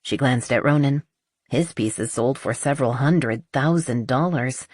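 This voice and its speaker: A woman's voice: very deep voice, unhurried, darkish tone, dry recording, clean studio sound. A woman reading a romance novel as scripted audiobook narration.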